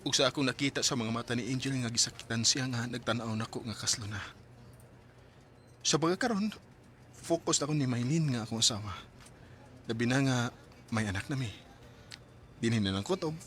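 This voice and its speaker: A man's voice: medium pitch, a little fast, pauses often, a bit bright, a little hiss or hum, close to an everyday voice. An actor playing a wistful young man torn over an old love in a sentimental radio drama. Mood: heartbroken, wistful. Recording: music under the voice.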